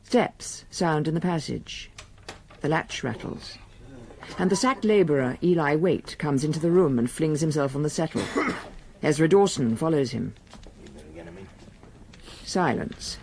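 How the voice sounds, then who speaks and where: A man giving measured, scripted literary narration as storyteller of a period radio drama. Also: on the high side, animated, a little mellow. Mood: measured, evocative.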